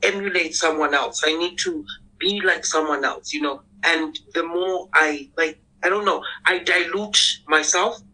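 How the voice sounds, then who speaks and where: A man with a squeaky voice, hosting a casual podcast panel in an earnest, reflective way and often repeating words as he starts. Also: measured pace, sharp tone, rough recording.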